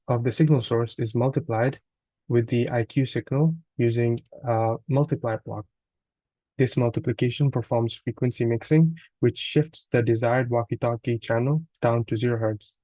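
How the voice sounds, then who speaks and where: A man with a soft, mellow voice presents a scripted technical walkthrough like a student giving a report. His delivery is a little halting, with small stumbles and corrections. The recording is very dry and slightly dull. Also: medium pitch, fairly even intonation, no room sound. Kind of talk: scripted technical narration, read aloud.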